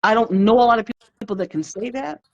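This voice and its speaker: A woman's voice: fairly high pitch, fast. A woman in her fifties hosting a live stream chat, heartfelt and reflective, greeting viewers by name as she talks. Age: 50s. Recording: dull lo-fi livestream audio.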